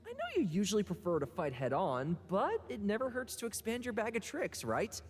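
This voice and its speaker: A woman's voice: medium pitch, wildly swooping pitch, a little fast, background noise. A woman playing a friendly, worldly older sibling in a video game, speaking in an encouraging and knowing way. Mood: knowing, wry. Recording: reverberant, faint game music behind.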